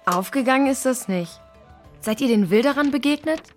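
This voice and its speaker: A woman's voice: sits high, animated, moderate pace, soft background music. A woman playing a caring, inquisitive girl in an adventure crew for a children's audio drama. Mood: questioning, earnest.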